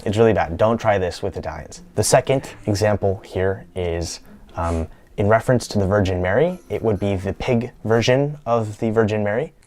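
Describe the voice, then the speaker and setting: A man's voice: lowish voice, normal speed, darkish tone. A young man from the United States presenting a scripted vlog in a playful, lightly joking manner. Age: young adult. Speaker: YouTube creator.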